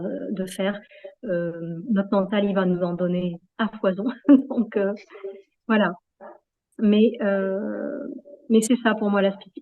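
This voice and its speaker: A woman's voice: mid-range voice, phone-quality sound. A woman being interviewed in a reflective, conversational way, hesitating often as she searches for her words. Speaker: interview guest, yoga practitioner.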